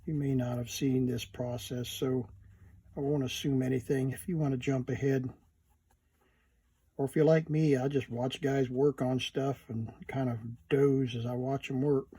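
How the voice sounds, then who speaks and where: A man hosting a casual hands-on repair video, unhurried and murmuring to himself as he works. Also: medium pitch, level tone, dark tone, breathy edge, no echo. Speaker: host of a repair video channel.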